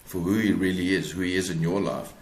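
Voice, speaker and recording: South african guy being interviewed, really low voice, stops and repeats words, echoey. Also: level tone, a little mellow. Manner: halting, repeats words as he searches for phrasing. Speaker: guest author. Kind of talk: reflective interview talk. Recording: roomy, echoing sound.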